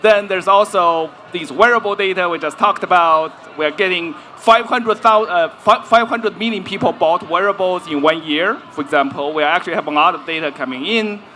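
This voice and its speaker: A man's voice: high voice, moderate pace, hardly any pauses, sharp tone, a little hiss or hum. A man in his forties, a researcher on a live panel, explaining at length and checking in with "right?" often. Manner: explanatory, peppers talk with "right?". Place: stage at a festival panel.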